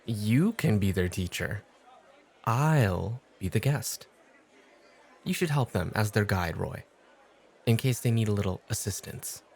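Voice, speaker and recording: Man acting a cool, exacting club host, gravelly. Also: fairly low pitch, heavily acted. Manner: challenging, measured, coolly teasing. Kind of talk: ASMR roleplay acting.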